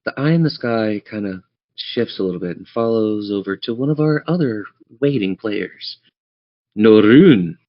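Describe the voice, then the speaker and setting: A man's voice: lowish voice, expressive, moderate pace, lo-fi sound. A man running a tabletop game as game master, narrating scenes descriptively and speaking straight to his players. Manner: descriptive, addresses players directly.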